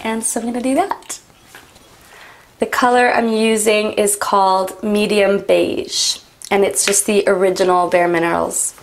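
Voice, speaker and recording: Young woman vlogger, raspy and gravelly, loose rambling chat. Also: medium pitch, steady tone, measured pace, a little hiss or hum. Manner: rambling, frequent "like" and "um". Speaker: beauty vlogger. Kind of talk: chatty get-ready-with-me talk. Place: home, doing makeup.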